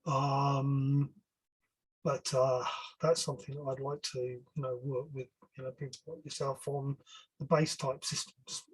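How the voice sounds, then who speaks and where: An older man speaking informally as an engineer guest on a webinar, halting and often rephrasing himself. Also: mid-range voice, level tone, husky, rough recording. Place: online video call.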